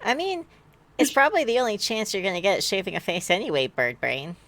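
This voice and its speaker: Casting: teenage best-friend boy played by a woman, goofy and deadpan by turns, swooping intonation, casual dry comebacks. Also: mid-range voice, a little fast. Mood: dry teasing. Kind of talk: amateur fan fiction read-aloud, character dialogue.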